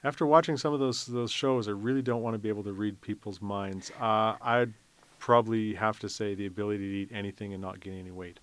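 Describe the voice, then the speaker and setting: A man with a fairly low voice chats as a guest on a podcast. He answers casually and haltingly, working out his thoughts as he goes, in a dry, close recording. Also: fairly even intonation, dry recording. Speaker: podcast guest, festival co-chair. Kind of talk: casual chat.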